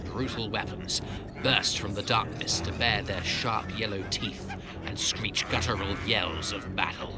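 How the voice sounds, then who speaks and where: Male narrator: low voice, solemn fantasy storytelling, heavy music bed behind. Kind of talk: scripted narration of fantasy audio drama. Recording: loud music over the voice. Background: loud music.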